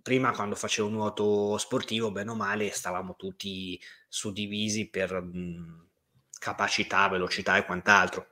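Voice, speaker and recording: A man's voice: fairly low pitch, normal speed. A man chatting casually as a co-host, telling stories emphatically, repeating himself and swearing freely. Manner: animated storytelling, swearing, emphatic repetition. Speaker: co-host of a livestream talk show. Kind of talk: casual chat.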